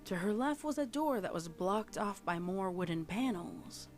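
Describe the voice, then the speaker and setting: A woman's voice: mid-range voice, animated, moderate pace, a little mellow, faint music behind. A woman reading a scripted horror story as narrator, in a dramatic storytelling style.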